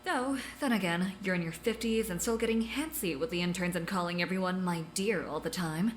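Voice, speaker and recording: A woman's voice: fairly low pitch, brisk, natural voice. A woman playing a blunt, scornful doctor who trades dry, cutting sarcasm. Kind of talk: audio drama acting.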